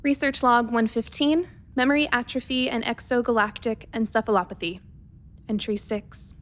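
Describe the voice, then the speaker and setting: A woman's voice: a little high, fairly even intonation, normal speed, fairly bright tone, close to an everyday voice. A woman playing a methodical, worried spaceship doctor dictating a research log in a radio play, clinical and precise but hesitant. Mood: clinical, measured.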